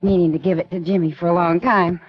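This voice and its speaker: An actress playing a kindly, earnest newspaper assistant with a coaxing manner, in a 1940s radio play. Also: female voice, lowish voice, fairly even intonation, fast, natural voice. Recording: dull old radio broadcast.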